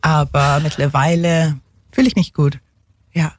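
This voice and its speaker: English woman speaking halting German. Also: sits low, little pitch movement, no room sound, studio quality. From England. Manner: halting, searching for German words, many "ähm"s, mixes in English words. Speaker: guest, single mother. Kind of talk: casual interview.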